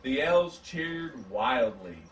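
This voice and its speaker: A man reading a children's story aloud in an animated, dramatic, drawn-out way. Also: medium pitch. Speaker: story reader. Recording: roomy, distant mic, background noise.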